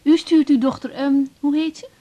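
An actress as a young, earnest new doctor. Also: female voice, high-pitched, steady tone, moderate pace, dark tone, dull audio, close to an everyday voice. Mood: polite. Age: young adult. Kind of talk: 1980s radio drama acting.

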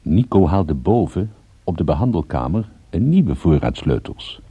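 Sardonic detective, husky gravelly voice, lively intonation, understated, radio play. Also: male voice, sits low, a little slow, no echo, full character voice. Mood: dry narration. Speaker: lead character and narrator. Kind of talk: radio drama narration and dialogue.

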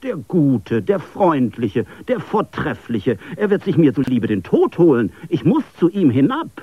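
An earnest man who speaks in flowery, fervent phrases, as a male narrator plays him. His voice is husky and lively in pitch. The recording sounds dull and lo-fi. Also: measured pace, dry recording, heavily acted. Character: grown man, warm-hearted, flowery in speech.

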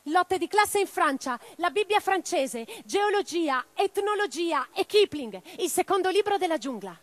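A woman playing an imprisoned, defiant revolutionary in a radio theatre monologue, reading her letters with theatrical, deliberate phrasing. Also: extremely high pitch, very animated, no room sound, full character voice. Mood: pleading, brisk. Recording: clearly noisy track with mains hum.

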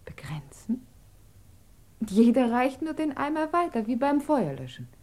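An actress in a German radio drama playing an earnest, emotional émigré woman who speaks intimately, sometimes dropping to a hushed, breathy tone. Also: high voice, very animated, unhurried. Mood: earnest, urging.

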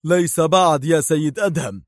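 A man voicing a stern, angry armed intelligence agent in a storybook thriller, with a sharp, agitated delivery. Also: on the high side, fairly quick, no room sound, clean studio sound, voice pushed up, close to an everyday voice. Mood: stern anger.

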